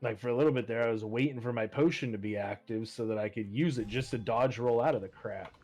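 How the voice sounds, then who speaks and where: A man with a husky voice chatting casually and swearing freely while gaming. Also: medium pitch, fast, a little mellow, faint music behind.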